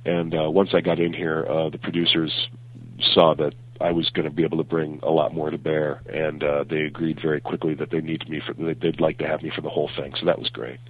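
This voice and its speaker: A low-voiced man talks in a relaxed, chatty way, and a cold leaves his voice raspy. He keeps stopping to restart his sentences. The sound is narrow and tinny, as if over a phone call. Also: fairly quick, dry recording. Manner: chatty, animated, with many fillers and restarts. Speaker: film graphic consultant and co-host. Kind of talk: casual podcast chat.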